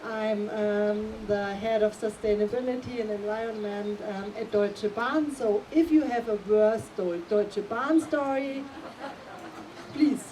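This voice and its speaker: A middle-aged woman speaking English with a German accent as a panel guest, pausing often with ums as she searches for words. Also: medium pitch, dark tone. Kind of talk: casual panel talk. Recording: distant mic, faint room noise.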